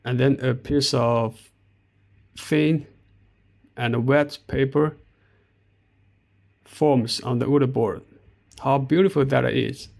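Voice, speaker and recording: Chinese-accented male vlogger, middle-aged, unhurried and gravelly, outdoors. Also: medium pitch, fairly even intonation, darkish tone. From China. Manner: slow and halting, with many fillers. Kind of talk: walking tour narration. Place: outdoor park.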